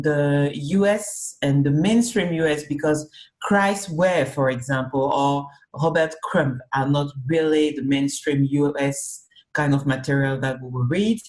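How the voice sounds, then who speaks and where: Low-voiced woman, unhurried and searching. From Cameroon. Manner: speaks slowly and searchingly, with fillers and rephrasing. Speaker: guest, comics artist and festival organizer. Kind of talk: remote interview, thoughtful casual answers.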